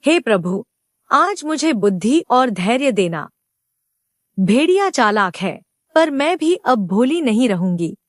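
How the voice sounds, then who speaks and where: A woman voicing a gentle, cautious, wise female goat in a cartoon moral story, earnest and polite. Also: on the high side, very animated, slow, no echo, studio quality. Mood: thoughtful.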